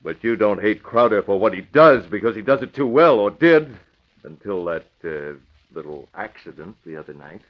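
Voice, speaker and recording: An actor playing a confident, stern masked vigilante in an old radio drama, clipped and sardonic. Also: male voice, fairly low pitch, lively intonation, normal speed. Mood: menacing, sardonic. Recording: muffled vintage radio recording.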